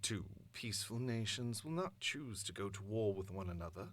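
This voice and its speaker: A man voicing a cold, calculating, sophisticated mastermind with a British accent, delivering a villain monologue in a measured, grandiose manner. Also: lowish voice, expressive, a bit bright. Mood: cold, imperious.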